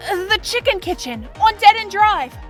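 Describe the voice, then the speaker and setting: A woman as a high, urgent, commanding heroine. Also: expressive, moderate pace, soft background music. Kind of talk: game fandub acting.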